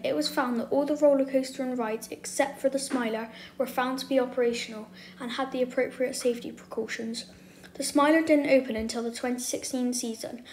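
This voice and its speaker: High female voice, scripted documentary narration, video narrator, echoey room, faint noise. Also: darkish tone. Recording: roomy echo, faint background noise.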